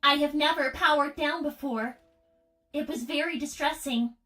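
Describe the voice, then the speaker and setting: Casting: female actor as despondent young android girl, high voice, precise formal phrasing, withdrawn, cartoon livestream with faint music behind. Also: normal speed, bright, forceful tone. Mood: somber. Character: young girl robot, solemn, withdrawn, despondent little sister. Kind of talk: improvised in-character livestream roleplay.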